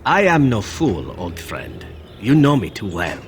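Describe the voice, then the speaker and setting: Suave male elf assassin, lowish voice, expressive, taunting, fantasy game, faint background ambience. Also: unhurried, slight background noise, full character voice. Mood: calm menace. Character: suave male elven assassin turning on his companion. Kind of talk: video game voice acting.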